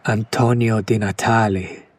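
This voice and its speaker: A man playing a condescending, smitten kidnapper in an ASMR roleplay, speaking in a hushed, breathy, lingering way. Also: lowish voice, fairly even intonation, measured pace. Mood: hushed, admiring. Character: condescending yandere male kidnapper, smitten with his captive. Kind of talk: whispered ASMR roleplay, slow soft recitation.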